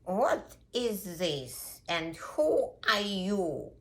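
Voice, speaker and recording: A woman voicing a huge, stern elephant in a children's story, scolding slowly and emphatically. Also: medium pitch, sing-song, voice pushed up. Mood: indignant.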